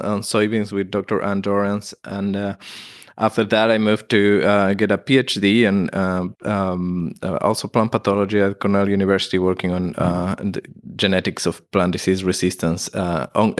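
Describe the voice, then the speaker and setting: A middle-aged man from Ecuador with an Ecuadorian Spanish accent, a plant pathologist explaining his research as a guest, hesitant with many fillers and restarts. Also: lowish voice. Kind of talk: conversational interview.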